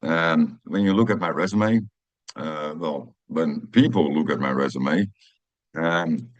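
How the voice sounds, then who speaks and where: A middle-aged Dutch man with a deep, low voice talks through his thoughts in English with a Dutch accent. He pauses often and fills the gaps with "uh" and "um", and he restarts his sentences. The sound is dry and close, with no room echo. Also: dull audio. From Netherlands. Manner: hesitant, many fillers and restarts. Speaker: podcast host. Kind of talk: reflective personal monologue.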